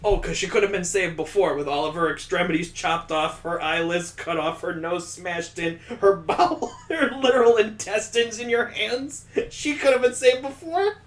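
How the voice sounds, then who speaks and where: Male let's play host, slightly high voice, exasperated and sardonic, recorded in a roomy space. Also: normal speed, few pauses. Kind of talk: live game commentary mixed with reading visual novel text aloud. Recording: roomy, echoing sound.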